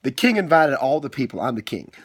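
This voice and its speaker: A man's voice: mid-range voice, big pitch swings, quick pace, fairly bright tone, breathy edge. A middle-aged man reading aloud to his child in a theatrical, teasing way, often breaking off for asides. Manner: theatrical, teasing, frequently interrupts himself. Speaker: father telling a bedtime-style story. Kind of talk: homemade storytime, reading aloud with playful asides.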